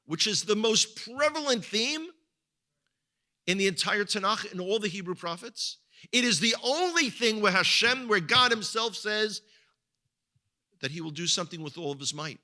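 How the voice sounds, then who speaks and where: A man's voice: high-pitched, lively intonation, normal speed, bright, forceful tone. A man with an Israeli accent giving a conversational talk as a guest speaker at a congregation, often repeating words as he searches for them. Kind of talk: sermon-style talk.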